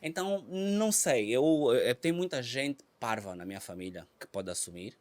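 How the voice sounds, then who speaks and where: A man from Angola speaking Portuguese with an Angolan accent, a comedian talking casually on a podcast who repeats himself and jumps high and loud when he tells a story. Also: normal speed. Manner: animated, repeats words, bursts high and loud when telling stories. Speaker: comedian guest.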